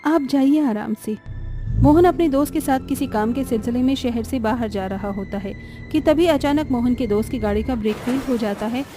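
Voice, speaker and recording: A woman's voice: on the high side, a little fast, over music. A woman narrating a scripted family story as its storyteller.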